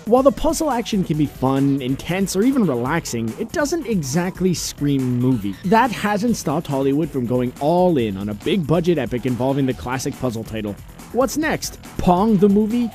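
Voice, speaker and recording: Animated, higher-pitched man narrating over music. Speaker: narrator of a video countdown. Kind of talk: scripted list-video narration. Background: background music.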